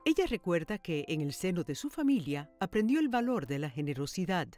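Woman doing tv news mag narration, animated delivery, soft music underneath. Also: fairly low pitch, brisk. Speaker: TV news magazine presenter and reporter. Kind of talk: scripted broadcast narration. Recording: music bed under studio voice. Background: background music.

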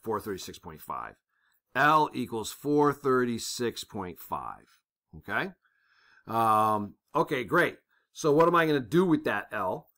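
A man with a bright, crisp-edged voice works through a problem like an instructor at a desk. His pitch sits in an ordinary male range. He thinks aloud informally, step by step, and keeps checking in as he goes. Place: indoors at a desk.

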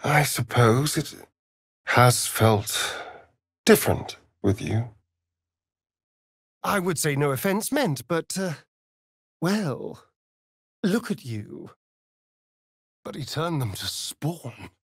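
An actor with a British accent playing a sardonic, preening vampire rogue in a fantasy video game, with an arch, drawling, theatrical delivery. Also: male voice, fairly high pitch, big pitch swings, slow, pauses often, fairly bright tone, husky, no room sound, clean studio sound, heavily acted. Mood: awkwardly sincere.

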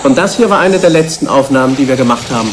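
An actor playing a defensive, grieving research psychologist in a German radio drama, explaining in a measured way. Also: male voice, mid-range voice, expressive, crowd noise, lightly acted. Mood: explanatory, subdued.

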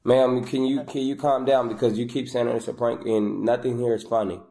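A man's voice: medium pitch, little pitch movement, moderate pace, creaky, dark tone. A young man on prank calls, questioning people in a stern, confrontational way, picked up by a camera across the table. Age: young adult. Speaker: prank caller. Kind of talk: prank phone call, mock-official questioning. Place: small room indoors at a table.

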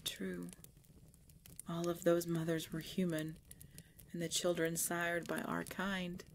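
A woman playing a tormented, devoted pregnant vampire in an intimate ASMR roleplay, halting and breathy, often dropping into a whisper. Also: fairly low pitch, little pitch movement, slight background noise. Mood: weary, tender. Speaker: voice actor in audio roleplay.

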